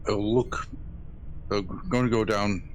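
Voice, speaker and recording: Deep-voiced man playing a tabletop game. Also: fairly even intonation, slow, slight background noise. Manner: dry, playful, chuckling. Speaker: player. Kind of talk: casual tabletop role-play.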